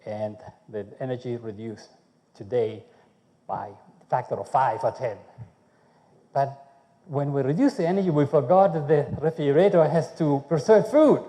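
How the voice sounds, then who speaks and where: A man from Chile, speaking English, gives a conference talk on food research. His voice is very dark and mellow, and he keeps checking in with the audience by asking "okay?". The sound carries a long reverb from the hall. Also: on the high side, measured pace, long pauses. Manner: conversational, checks in with frequent "okay?", restarts.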